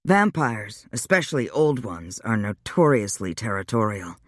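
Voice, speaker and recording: A woman voicing a centuries-old male vampire who speaks in low, clipped, dry replies. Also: very animated, normal speed, no room sound.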